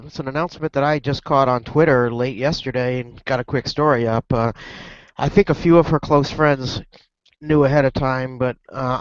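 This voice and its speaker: A man's voice: medium pitch, dry recording. Stuffy-voiced man in a dull dry recording. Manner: rambling, many restarts and fillers. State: stuffy from a cold. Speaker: reporter. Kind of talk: casual web broadcast chat. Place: airport lounge.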